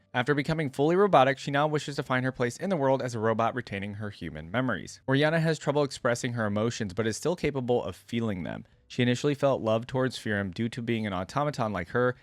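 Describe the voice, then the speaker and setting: A man's voice: mid-range voice, no room sound. A man hosting a reaction video, giving casual, musing commentary and addressing viewers directly. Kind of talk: casual commentary, partly reading a wiki aloud.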